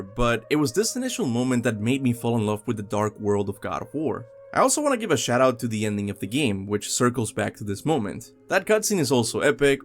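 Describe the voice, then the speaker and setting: Animated man doing video game commentary. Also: medium pitch. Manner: enthusiastic, emphatic, addresses viewers directly. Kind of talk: scripted video essay commentary. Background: music.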